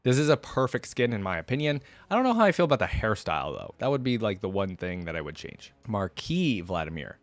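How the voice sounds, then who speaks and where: A man's voice: lowish voice, big pitch swings, a little fast, hardly any pauses, no room sound. A man giving casual reaction commentary as a host, chatty and informal, thinking aloud as he goes.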